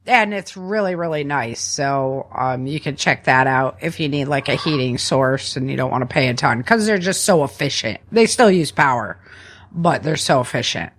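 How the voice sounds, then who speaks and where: A woman's voice: a bass voice, lively intonation, normal speed. A woman hosting a podcast in casual, chatty conversation from a family room at home, using informal, slangy language. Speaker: podcast host.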